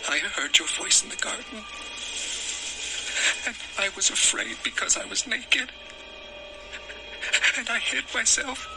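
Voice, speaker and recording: A man's voice: very high voice, very animated, slow, pauses often, background noise, exaggerated voice. A man playing the frightened, ashamed and defensive first man in a dramatized audio scripture. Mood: fearful, ashamed.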